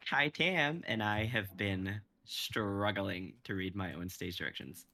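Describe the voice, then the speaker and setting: A young man making slow, wry remarks on a live stream. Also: lowish voice, bright, forceful tone. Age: young adult. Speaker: stream reader. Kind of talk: casual group chat.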